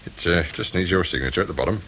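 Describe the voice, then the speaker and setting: An actor playing a deferential male minder in a radio drama, chirpy and polite with quiet menace. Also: low voice, rapid-fire, background noise, natural voice. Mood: politely firm.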